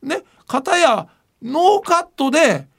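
An older man with a raised, gravelly voice hosts a podcast. He rambles through anecdotes in a casual, blunt way. His pitch leaps around as he talks. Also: big pitch swings, slow, fairly bright tone. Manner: blunt, rambling storytelling with rough slang. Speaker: podcast host. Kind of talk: casual chat.

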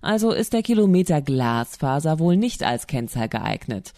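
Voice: medium pitch, very animated, normal speed, darkish tone, no echo, clean studio sound. A person reading out written reader comments as quotations in a commentary podcast.

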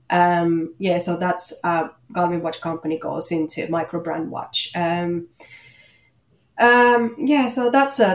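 A woman with a Finnish accent answering interview questions as a guest, speaking in a hesitant, halting way. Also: on the low side. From Finland. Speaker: interview guest, watchmaker and small business owner. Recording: echoey room.

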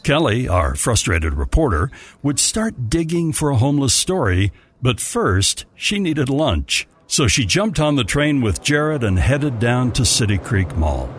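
Highly animated man telling a holiday story. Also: a little slow, slight background noise. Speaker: storyteller and radio-show narrator. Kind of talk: scripted holiday story narration in old-time radio manner.

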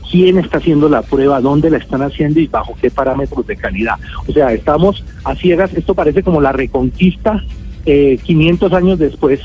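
Man, Colombian accent, husky voice, emphatic expert interviewee, phone-band sound, faint music. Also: a little high. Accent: Colombian Spanish. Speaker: genetics expert, interview guest. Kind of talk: radio interview, explaining. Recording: narrow phone-like line, music.

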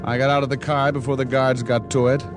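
An actor playing a wisecracking, world-weary private detective in an old radio drama, with a wry, deadpan manner. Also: male voice, mid-range voice, quick pace, music in the background, lightly acted. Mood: grim, terse. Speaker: actor narrating and playing the lead. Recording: vintage radio broadcast recording.

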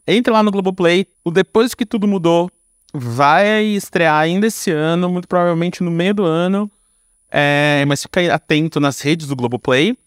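A man's voice: high-pitched, moderate pace, clear, resonant tone, no echo, studio quality. A Brazilian man chatting casually as a podcast guest, informal and slangy, thinking aloud as he goes. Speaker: podcast guest, filmmaker and screenwriter. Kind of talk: casual chat.

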